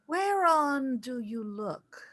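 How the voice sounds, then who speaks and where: A woman's voice: high voice, sing-song, a bit bright, exaggerated voice. An actress playing a dramatic, teasing, fretful ghost of a mother in a theatrical play reading, drawing out her words. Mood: grandly solemn. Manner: declaiming, teasing, drawn-out.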